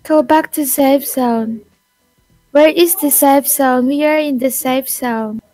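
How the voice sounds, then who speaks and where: A woman chatting casually and excitably while playing a mobile shooter. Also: sits high, slow pace, no echo. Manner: excitable, laughing. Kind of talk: casual gameplay chat. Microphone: phone mic. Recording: dry close sound with game music behind.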